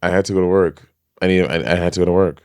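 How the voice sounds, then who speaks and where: A man co-hosting a podcast in casual chat, animated and campy, who swears freely. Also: sits low, very fast, clear, resonant tone, no echo, studio quality. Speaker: podcast co-host.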